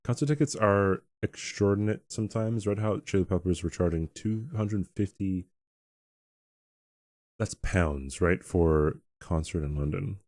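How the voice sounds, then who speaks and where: Deep-voiced young man chatting on stream. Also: dry recording. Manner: chuckling, halting and relaxed. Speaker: game streamer. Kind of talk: casual chat with a live stream audience.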